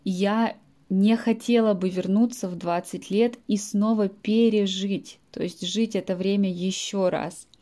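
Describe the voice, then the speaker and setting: Late-20s female language teacher, native speaker, warm and mellow, patient explainer with soft fillers, podcast monologue. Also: medium pitch, steady tone, a little slow. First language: Russian. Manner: explains words in simple terms, with frequent soft fillers.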